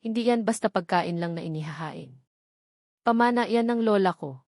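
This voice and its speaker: A woman's voice: mid-range voice, normal speed, darkish tone, no echo, clean studio sound, lightly acted. Proud, guarded Filipino woman acted by a narrator. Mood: hard, defiant. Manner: hard, guarded, cold. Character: proud, wounded, defiant woman restaurant owner.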